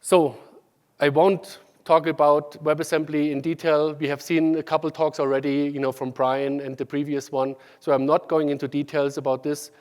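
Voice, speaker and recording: A man with a German accent gives a technical talk at a conference, pausing often with ums and starting sentences over. His voice sits a little high for a man. The sound carries the long reverb of a large hall. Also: little pitch movement, darkish tone. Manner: halting, many ums and restarts. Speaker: conference speaker.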